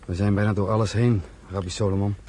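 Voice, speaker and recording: A resolute, devout young refugee played by a man in a radio drama. He speaks earnestly and declaims in a low, dark-toned voice, with faint noise on the old recording. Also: level tone, fast, natural voice. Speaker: actor in a radio play. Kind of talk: Dutch radio drama acting. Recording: slightly noisy old radio play recording.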